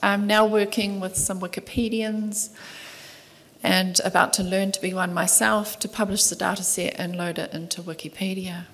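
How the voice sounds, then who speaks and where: A middle-aged woman with a New Zealand accent reading a conference paper in a soft, measured voice, from a table mic in a large lecture hall. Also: mid-range voice, little pitch movement. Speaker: conference speaker, museum curator. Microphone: table microphone at panel desk.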